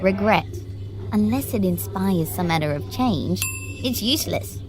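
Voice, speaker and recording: An actress playing a prim, formal woman who runs an uncanny lift, speaking in genteel phrases and gently probing. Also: medium pitch, animated, unhurried, soft background music, lightly acted. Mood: wry. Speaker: voice actor. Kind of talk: audio drama acting.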